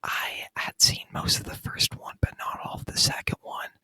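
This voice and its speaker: A man speaking in a breathy whisper, chatting casually in ASMR style and softly repeating words, close to the microphone. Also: moderate pace, dry recording. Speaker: ASMR video creator reviewing a movie.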